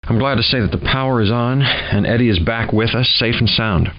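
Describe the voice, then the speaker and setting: An actor playing a gloomy, wry scientist who narrates mission notes in a video game, dry and foreboding. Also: male voice, medium pitch, brisk, lightly acted. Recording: dull lo-fi game audio.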